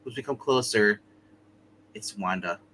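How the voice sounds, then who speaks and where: A man's voice: lowish voice, unhurried, sharp tone, low-quality recording. A man playing in a roleplay game, chatting casually and jokingly. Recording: faint hum and background noise.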